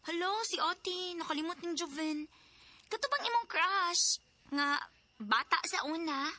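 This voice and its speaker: An actress playing a chatty, teasing younger sister with a Cebuano Filipino accent in a radio drama. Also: female voice, extremely high pitch, expressive, a little slow, bright, forceful tone, exaggerated voice.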